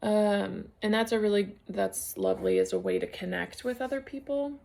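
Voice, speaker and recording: Woman, home vlogger, gravelly fry, mid pitch, rambling and unfocused. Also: huge pitch swings, moderate pace, darkish tone. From United States. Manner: rambling, searching for words, many fillers and restarts. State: concussed, a little unfocused. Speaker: knitting vlogger. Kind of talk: casual solo vlog chat.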